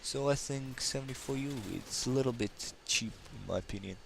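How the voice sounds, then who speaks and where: A man's voice: medium pitch, fairly quick, gravelly, slight background noise. A man from Kazakhstan, a native Russian speaker with a slight Russian accent, giving rambling, hesitant gameplay commentary full of fillers. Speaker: gaming channel host.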